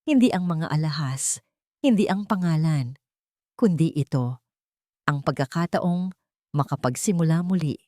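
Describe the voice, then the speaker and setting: A Filipino-accented woman narrates a dramatic first-person story in a low voice. The sound is dry, with very little room to it, and the delivery is that of a scripted audiobook. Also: lively intonation, unhurried, pauses often, darkish tone, dry recording.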